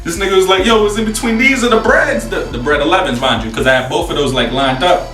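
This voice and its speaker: A man in his twenties with a New York accent, telling a story casually to camera in a slangy, profane, animated way. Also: sits high, quick pace. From New York City, USA. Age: 20s. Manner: slangy, profane, animated retelling with acted-out dialogue. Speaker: host. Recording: roomy echo, distant mic, faint music.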